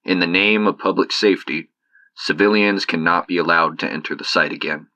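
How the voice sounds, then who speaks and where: An actor playing a cool, measured government investigator in an audio drama. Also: male voice, deep voice, pushed and bright, slight background noise, poor audio. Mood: formal. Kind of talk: audio drama acting, formal report.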